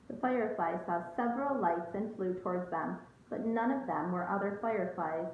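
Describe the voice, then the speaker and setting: A woman's voice: mid-range voice, normal speed. A woman in her thirties reading a picture book aloud to young children like a teacher, expressively, with questions and asides to them. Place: small room at home. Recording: muffled phone-like audio, roomy, faint noise.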